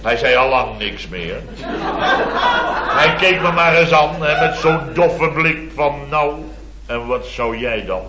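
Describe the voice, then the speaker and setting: An actor playing a blustering, indignant middle-aged married contractor, telling a rambling, exasperated comic anecdote in a radio serial. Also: male voice, on the high side, expressive, slow pace, over a noisy crowd. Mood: exasperated storytelling. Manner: exasperated, rambling, mimics others mockingly. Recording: muffled old radio, echoey, audience laughter.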